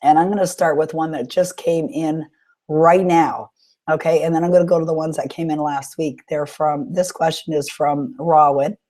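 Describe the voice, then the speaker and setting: A woman hosting a live webinar question-and-answer session with a sore throat, talking in an encouraging, coaching way with frequent pauses. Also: low voice, fairly even intonation, darkish tone. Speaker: webinar host and author coach.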